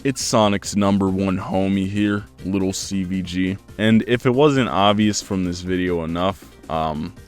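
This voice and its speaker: Low-voiced jokey male YouTuber over music. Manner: jokey, exaggerated mock-serious delivery; slangy, self-deprecating. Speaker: YouTube video host and reviewer. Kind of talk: casual comedic video commentary.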